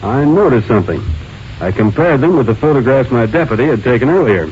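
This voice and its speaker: An actor playing a shrewd, plain-spoken sheriff in an old radio drama, matter-of-fact and deliberate, on a noisy recording. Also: male voice, medium pitch, animated, darkish tone, highs cut off, close to an everyday voice. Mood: pointed, matter-of-fact. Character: plain-spoken, shrewd male county sheriff laying out evidence.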